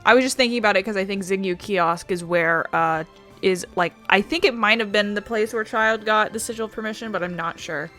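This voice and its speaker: A woman's voice: mid-range voice, fairly quick, a bit bright, soft background music. A woman streamer giving casual gameplay commentary in a rambling, playful manner. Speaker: streamer playing a game.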